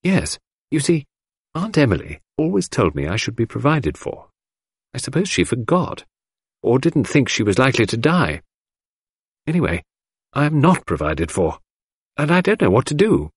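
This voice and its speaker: A man voicing a wistful, teasing young orphaned woman in an audiobook reading, lifting his husky voice into a lilting girlish tone. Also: medium pitch, big pitch swings, normal speed, pauses often, no echo, clean studio sound, voice pushed up.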